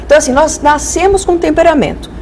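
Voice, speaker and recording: Brazilian woman, expert interview guest, fairly high pitch, didactic and conversational, faint noise behind. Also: quick pace, a little mellow. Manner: didactic, illustrates with acted-out examples.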